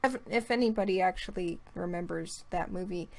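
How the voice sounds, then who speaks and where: A woman's voice: lowish voice, fairly quick, gravelly. A woman guest in a casual chat about horror stories, playful and chatty, joking and swearing now and then. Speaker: guest co-host.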